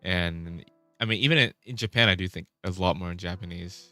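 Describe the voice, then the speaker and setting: A man's voice: deep voice, brisk, sharp tone, no echo, soft background music. A man chatting casually on a vlog, rambling and restarting his sentences, swearing now and then. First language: Vietnamese. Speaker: vlogger.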